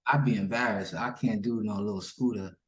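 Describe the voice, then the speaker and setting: A man's voice: on the low side, fairly even intonation, brisk, reverberant. A man whose native language is Yoruba, a musician giving a casual interview in informal, slangy speech, often repeating himself.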